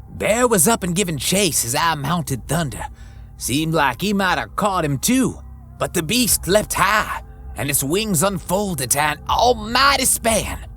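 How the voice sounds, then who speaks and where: A man's voice: a little high, fairly bright tone. A man narrating a western horror story in the first person, in folksy frontier talk full of crude slang, over background music. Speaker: audiobook narrator.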